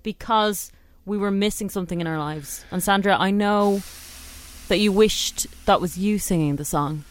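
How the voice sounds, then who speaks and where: A woman's voice: mid-range voice, normal speed. Playful Irish woman radio co-host. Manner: playful, mock-earnest banter. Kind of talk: casual radio chat.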